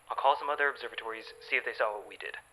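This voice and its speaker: A man playing an eager, stubborn astronomer in an audio drama, with an excitable, insistent manner. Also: medium pitch, racing. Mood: intrigued. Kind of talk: modern audio drama acting.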